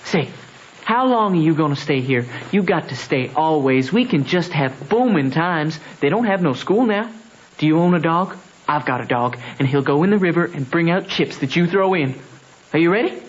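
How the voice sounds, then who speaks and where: An actor playing an eager, boastful Southern boy of about thirteen, chatting excitedly in an old radio drama. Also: a little high, moderate pace. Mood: eager, playful. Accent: American Southern. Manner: excitable, chatty country speech. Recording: dull old radio broadcast.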